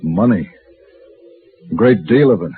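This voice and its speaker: An actor playing a cool, tough gunfighter hero with a dry, terse, commanding manner in a 1950s radio western. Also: male voice, fairly low pitch, lively intonation, takes it slowly, soft background music. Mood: wry. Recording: tinny, noisy vintage radio broadcast.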